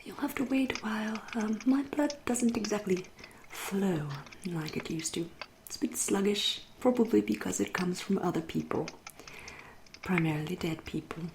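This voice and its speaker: A woman with an English accent playing a seductive, wry, refined female vampire in a soft, whispered ASMR roleplay, speaking slowly and formally. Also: medium pitch, noisy background, lightly acted. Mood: intimate, wry. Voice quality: soft, breathy, often whispering. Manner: slow, lingering, genteel, archly formal. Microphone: close ASMR microphone.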